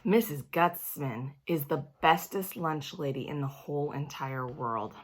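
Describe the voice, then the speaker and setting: A woman voicing an excitable, blunt little kindergarten girl in a storybook, with a gushing, emphatic manner. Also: low voice, unhurried. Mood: thrilled. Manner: gushing, emphatic, baby-grammar exclamations.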